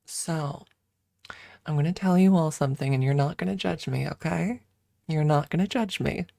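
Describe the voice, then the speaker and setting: Woman doing rambly asmr stream chat, really deep creaky voice, soft n hesitant, right up on the mic. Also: moderate pace, dry recording, studio quality. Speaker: ASMR livestreamer. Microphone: close ASMR microphone.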